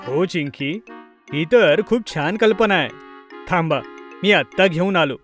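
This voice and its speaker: A man's voice: a little high, lively intonation, measured pace, a bit bright. A friendly cartoon dad, bit nervous, easygoing n fond of his kids, music behind. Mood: pleased, affectionate. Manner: agreeable, affectionate with children. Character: friendly, mildly nervous, obliging father of two. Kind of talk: children's cartoon voice acting. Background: background music.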